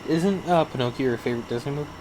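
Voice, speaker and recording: A man's voice: mid-range voice, very fast, noisy background. A man co-hosting a casual movie review podcast, laid-back and hesitant. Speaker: podcast co-host.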